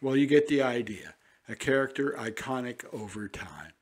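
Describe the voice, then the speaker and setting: A man hosting a classic radio show in a relaxed, informal way. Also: mid-range voice, normal speed, breathy edge. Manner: relaxed and informal, with small fillers. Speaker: podcast host.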